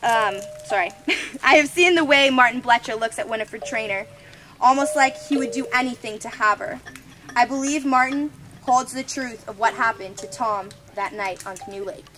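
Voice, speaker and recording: A woman's voice: fairly high pitch, normal speed, sharp tone, faint music behind. A young woman reading the part of a witness who found a drowned body, in a scripted ghost-story monologue read from a page, with stumbles over the words. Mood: earnest.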